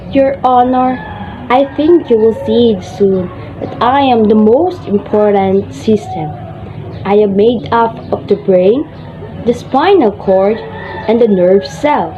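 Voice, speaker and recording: A woman's voice: on the high side, a little hiss or hum. A woman playing a boastful, self-important nervous system in amateur reader's theater, reciting scripted lines in a dull lo-fi recording. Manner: boastful, deliberate. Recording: dull lo-fi sound. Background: music.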